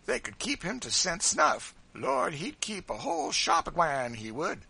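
Loud, high-pitched mocking trickster. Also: male voice, wildly swooping pitch, a little slow, gravelly, slightly rough, exaggerated voice. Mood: gleeful teasing. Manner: exaggerated drawling folk dialect, teasing. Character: big boisterous mocking man, voluble trickster. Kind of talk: audiobook character voice.

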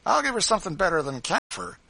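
A man's voice: high voice, sing-song, fast talker, gravelly, pushed and bright, no room sound, voice pushed up, heavily acted. A man voicing a brutal, spiteful plantation driver in an audiobook, guttural, with heavy dialect speech and barked threats. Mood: menacing.

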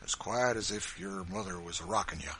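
Man voicing a big rough kindly old farmer, rustic Kentucky dialect, loud folksy drawl, bright tone, audiobook. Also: low voice, brisk, slight background noise. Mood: reassuring.

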